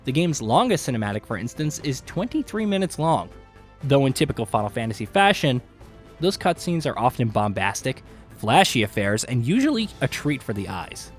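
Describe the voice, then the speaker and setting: Man narrating with very animated, swooping pitch. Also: normal speed. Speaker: video essay narrator. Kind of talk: scripted narration for a video game list. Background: background music.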